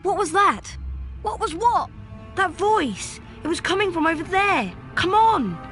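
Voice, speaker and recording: A woman's voice: very high voice, big pitch swings, unhurried, fairly bright tone, soft background music, full character voice. A woman voicing an earnest young schoolchild in a wizard video game. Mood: alarmed.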